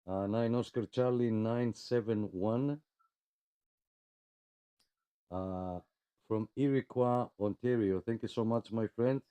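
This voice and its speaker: A man's voice: on the low side, unhurried, mellow, clean tone, no room sound. A man casually hosting a live radio check-in, friendly and polite, with plenty of hesitations. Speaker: host of a CB radio club live check-in net.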